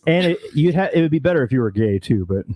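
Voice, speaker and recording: A man's voice: fairly high pitch, big pitch swings, fast talker, dark tone, dry recording. A man co-hosting a casual podcast, joking and bantering animatedly. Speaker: podcast co-host.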